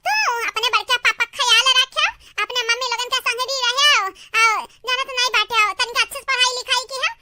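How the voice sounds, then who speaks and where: An aggrieved village sister-in-law from a comedy cartoon, scolding and wailing theatrically. The voice is extremely high and piercingly bright, as if artificially pitched up, with a Bhojpuri-Awadhi accent from North India. Faint noise sits behind it. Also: steady tone, fast talker, full character voice. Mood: sorrowful, firm. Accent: Bhojpuri-Awadhi belt of North India. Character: melodramatic aggrieved village sister-in-law threatening to leave home. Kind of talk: exaggerated family comedy cartoon dialogue in rural dialect.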